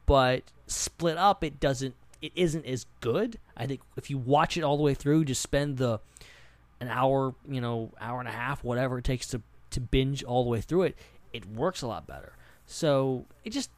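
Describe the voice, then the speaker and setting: A man with a medium-pitched voice chats informally as a podcast co-host. He rambles in loose, filler-heavy phrases. The recording is dry and free of room sound. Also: normal speed, dry recording. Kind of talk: casual pop-culture discussion.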